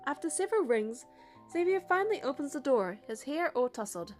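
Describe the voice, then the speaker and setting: High-voiced woman narrating a story over music. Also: big pitch swings, a little fast. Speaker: player narrating a game playthrough. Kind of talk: expressive story narration, read aloud.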